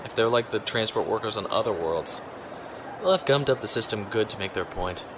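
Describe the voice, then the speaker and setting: A man playing a calm, courteous space navy lieutenant in an audio drama, speaking in a measured, dry way. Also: lowish voice, noisy background. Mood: conversational. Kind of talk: audio drama acting with log narration.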